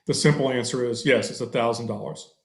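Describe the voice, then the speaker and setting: A man's voice: mid-range voice, steady tone, a little fast, roomy sound. Long-winded man explaining on a video call. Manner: thorough, slightly long-winded, many fillers. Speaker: attorney answering board questions. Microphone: headset on a video call.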